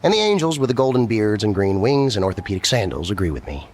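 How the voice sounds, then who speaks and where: An actor playing a gentle, earnest, eccentric male scientist in a radio comedy, husky and sincerely matter-of-fact about absurd things. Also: on the low side, very animated, quick pace. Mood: serene, matter-of-fact. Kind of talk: radio comedy drama acting.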